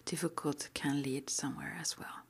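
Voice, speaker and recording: A woman whispering breathily in an improvised ASMR roleplay, halting and gently reassuring as she plays a guide at a witch school. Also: sits low, steady tone, breathy edge.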